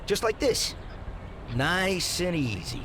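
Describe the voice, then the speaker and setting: A man's voice: high voice, big pitch swings, slow, bright, forceful tone, heavily acted. An actor playing a jittery male car mechanic who stutters heavily through every sentence, in a video game cutscene. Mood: eager. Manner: heavy stutter, nervous repeats. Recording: noisy game ambience.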